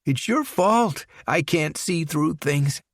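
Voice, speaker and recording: A menacing, unhinged young man purrs with false politeness in a put-on English accent, as a male reader plays him. His voice sits high and swoops about, slow and theatrical in this storybook reading. Every courtesy sounds like a threat. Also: lively intonation, no room sound, clean studio sound, heavily acted. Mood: mocking menace.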